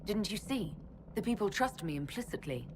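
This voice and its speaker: A woman's voice: medium pitch, sing-song, normal speed, background noise, natural voice. A woman playing a resolute young chosen leader in a fantasy video game, speaking in a direct, matter-of-fact way. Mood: questioning, assured. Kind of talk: dramatic video game dialogue.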